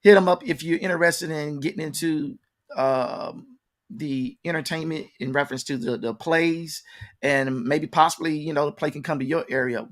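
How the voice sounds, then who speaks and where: A man's voice: fairly high pitch, fairly bright tone. A man hosting a conversational interview, informally addressing his audience like an announcer. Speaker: interview host and promoter. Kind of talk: conversational radio-style interview.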